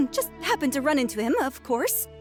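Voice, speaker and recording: A lovesick, flustered researcher. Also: female voice, sits high, big pitch swings, moderate pace, faint music behind. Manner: flustered, defensive. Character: young female researcher, lovesick and defensive. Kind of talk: video game voice acting.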